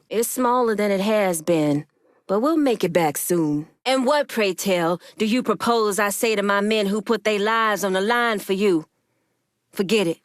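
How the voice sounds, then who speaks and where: An actress voicing a proud, commanding woman crime boss in a video game, with a firm, deliberate and authoritative delivery. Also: medium pitch, dry recording, studio quality, lightly acted. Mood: angry, defiant.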